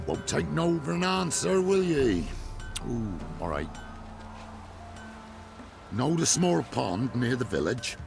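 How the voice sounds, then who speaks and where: Fantasy game peasant: British, wary and surly, heavy vocal fry, unhurried, music underneath. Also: male voice, mid-range voice, sing-song, heavily acted. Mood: surly, grudging. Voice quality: creaky, gravelly. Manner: rustic, grudging. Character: grumpy, wary, slow-talking male village peasant. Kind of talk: video game voice acting.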